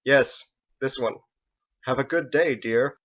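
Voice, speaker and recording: A man voicing a composed, kind woman in a fantasy audiobook, with gentle, formal wording. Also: mid-range voice, slow, highs cut off. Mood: wry. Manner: formal, uncontracted, gentle speech.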